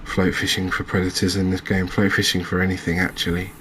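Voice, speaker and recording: Casting: male game streamer, deep and flat-toned, understated ASMR commentary, slight background noise. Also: fairly quick. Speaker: gaming channel host.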